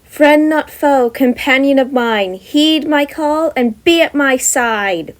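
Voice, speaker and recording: A woman voicing a cheerful, friendly young female mage in a bright, sing-song manner for an audio story. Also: sits high, slow pace, pitched above the performer's own voice. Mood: eager, incanting.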